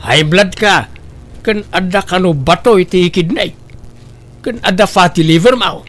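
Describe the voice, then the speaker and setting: A man's voice: high-pitched, unhurried, a bit bright. A man playing a stern man who lectures a greedy woman about her wrongdoing. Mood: scolding. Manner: scolding, moralizing. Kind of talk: amateur comedy audio drama.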